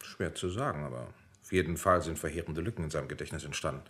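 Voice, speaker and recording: A low-pitched male army doctor in a radio play. He sounds clinical and careful, laying out technical matters in measured phrases with small hesitant pauses. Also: fairly bright tone. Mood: clinical, cautious. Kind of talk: radio drama dialogue.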